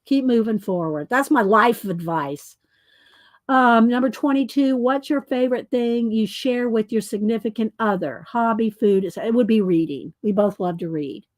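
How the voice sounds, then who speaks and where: A middle-aged woman hosts her crafting channel, talking loosely and breaking off her own sentences as she works. Her voice is loud and sits a little high. The sound is close and dry, with almost no room around it. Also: no room sound. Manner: chatty, rambling, self-interrupting, laughs often. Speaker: crafting YouTube host. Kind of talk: casual chat while making art. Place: home art studio.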